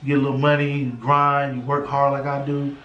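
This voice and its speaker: Man, thirties, American accent, mid-pitched voice, informal slangy delivery, motivational interview guest, roomy echo. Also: completely flat delivery, brisk, pushed and bright, highs cut off, a little hiss or hum. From United States. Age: 30s. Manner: street slang, frequent "you know what I'm saying". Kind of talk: casual motivational talk. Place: indoors in a house. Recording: roomy, echoing home interview.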